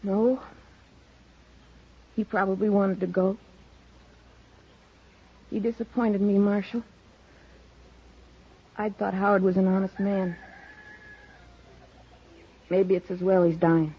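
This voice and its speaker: Proud bitter pioneer woman, country american accent, husky, terse, muffled vintage radio drama. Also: mid-range voice, little pitch movement, slow, long pauses. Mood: bitter, resigned. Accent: rural American. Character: bitter, proud, plain-spoken frontier homesteader woman. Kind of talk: 1950s radio western acting. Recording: muffled old radio recording.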